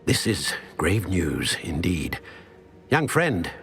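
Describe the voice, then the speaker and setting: An older actor playing a solemn ancient wizard in a video game, speaking in a formal, measured, grave manner. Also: male voice, low-pitched, big pitch swings, a bit bright, exaggerated voice. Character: solemn ancient wizard, keeper of secret magical knowledge. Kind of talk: video game cutscene acting. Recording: music behind.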